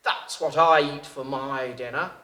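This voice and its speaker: A woman doing a big proud lion from a storybook, speaking slowly and with emphasis, the voice ringing in an echoey room. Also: extremely low pitch, steady tone, bright, forceful tone, voice pushed down, heavily acted. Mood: matter-of-fact.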